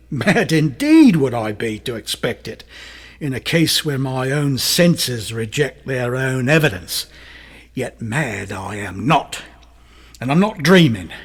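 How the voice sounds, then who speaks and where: An actor playing a chummy, unhinged London man on trial, justifying himself in a radio drama monologue. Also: mid-range voice. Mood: nervous, pleading, then defiant.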